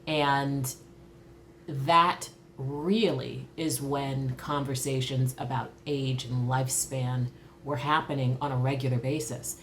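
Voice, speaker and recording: A woman in her early fifties reflecting candidly on her own life in a thoughtful, halting way, as in a personal interview. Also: rumbling low, slight background noise. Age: early 50s. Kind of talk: reflective personal talk to camera.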